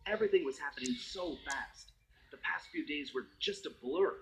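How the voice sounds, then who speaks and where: An actor playing a suave raccoon master thief, narrating game cutscenes in a wry, smooth storytelling manner. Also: male voice, a little high, animated, normal speed, fairly bright tone, slight background noise, low-quality recording. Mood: grave, determined. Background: background music.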